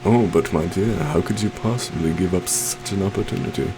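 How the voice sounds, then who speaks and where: A man's voice: low-pitched, a little fast, background noise. A man playing a suave, possessive stage magician in an ASMR roleplay, theatrical and courtly, coaxing sweetly with a menacing undertone. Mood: possessive concern. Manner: theatrical, courtly, soft coaxing with terms of endearment.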